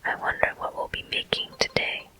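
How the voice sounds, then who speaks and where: A whispering game host giving soft, deliberate ASMR commentary with frequent pauses, close to the microphone. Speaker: host of gameplay walkthrough.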